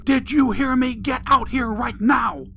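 Man putting on a shrill, vicious, domineering mother. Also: measured pace, gravelly, a bit bright, full character voice. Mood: shrill anger. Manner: shrill, hissing, threatening. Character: vicious, domineering middle-class mother, hissing and screaming threats. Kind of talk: audiobook character voice.

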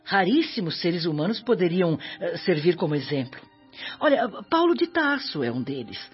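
Wise old motherly spirit, lively melody. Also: fairly low pitch, normal speed, husky, full character voice. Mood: earnest, exhorting. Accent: Brazilian. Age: older. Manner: maternal, earnest, moralizing with gentle tag questions. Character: kindly wise elderly mother spirit, devout mentor. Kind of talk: radio drama acting. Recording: dull audio with background music.

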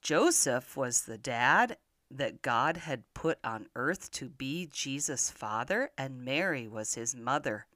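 Older woman, low-pitched animated children's storyteller. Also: slow, dry recording, clean studio sound. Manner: expressive, simple questions addressed to young children.

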